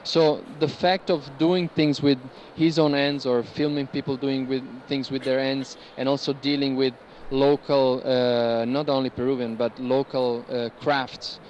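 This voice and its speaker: A man's voice: medium pitch, little pitch movement, unhurried, few pauses, darkish tone. A man working as a curator, talking informally as he guides visitors around works at an art fair. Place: large indoor art fair among gallery stands. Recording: noisy fair hall ambience behind voice. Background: busy fair hall background noise.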